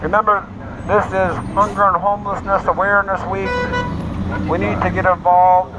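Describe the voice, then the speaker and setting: A man's voice: high voice, flat delivery, moderate pace. A man giving an impassioned, emphatic advocacy speech with religious appeals and repeated slogans through a megaphone. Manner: exhorting, emphatic, repetitive slogans. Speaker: homeless advocate, street speaker. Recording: noisy, dull megaphone sound outdoors with traffic.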